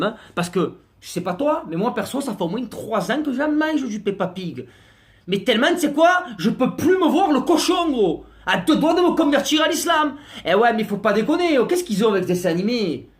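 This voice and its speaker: A man's voice: extremely high pitch, big pitch swings, moderate pace. A man doing a slangy, exasperated comic rant to camera. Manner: slangy, exasperated, mock-outraged. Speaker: comic vlogger.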